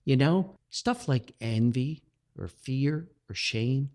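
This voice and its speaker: A man talks as a podcast host. His delivery is highly animated, with a medium pitch that moves freely. He tells reflective stories in a folksy, sentimental, earnest manner. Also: slow pace. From Paterson, New Jersey, United States.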